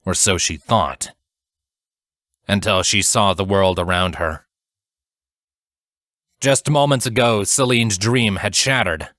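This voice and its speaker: A man narrating a dramatic fantasy story from a script in a measured, solemn storytelling manner. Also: on the low side, fairly bright tone, no room sound, studio quality. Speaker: audiobook narrator.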